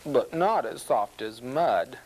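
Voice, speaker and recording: Woman playing an expressive, fussy little storybook pig. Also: rumbling low, slow pace, a little hiss or hum, exaggerated voice, rough recording. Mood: searching. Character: small male pig, fussy, mud-loving, easily startled.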